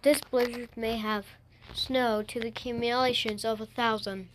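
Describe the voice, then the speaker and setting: A woman making formal, halting and repetitive emergency announcements in an amateur alert broadcast. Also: fairly high pitch, fairly even intonation, slight background noise, low-quality recording.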